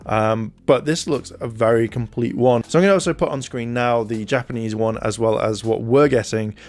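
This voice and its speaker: A man's voice: medium pitch, normal speed, darkish tone. A man in his thirties giving casual first-look commentary to camera in a hesitant, rambling way, recorded close on a handheld recorder in a small room. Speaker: YouTube toy reviewer. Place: small indoor room at home. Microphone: handheld recorder close to the mouth.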